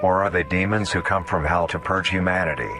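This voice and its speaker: A man reading scripted mock-documentary horror narration in a formal, measured, explanatory way. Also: low voice, steady tone, over music.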